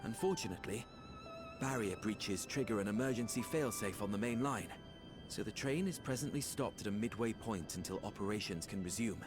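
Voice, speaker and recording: Guy playing earnest young fantasy game scholar, low voice, formal old-timey wording, strings playing behind him. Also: expressive, close to an everyday voice. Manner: measured, formal archaic phrasing. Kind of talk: scripted game cutscene acting. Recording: prominent orchestral music behind. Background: music with strings.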